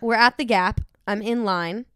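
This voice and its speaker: A young woman in college, a guest on a podcast, telling stories in a casual chat, bubbly and quick to giggle. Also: on the high side, pushed and bright, clean tone. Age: young adult. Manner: giggly, bubbly storytelling with frequent laughs.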